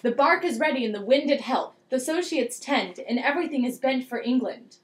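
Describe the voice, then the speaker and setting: A high voice rings in an echoey room as an anxious king played by a woman gives orders. The delivery is declamatory, in old verse, as in a homemade puppet-show staging of Shakespeare. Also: lively intonation, fairly quick. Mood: grave, insistent. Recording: echoey room, amateur recording.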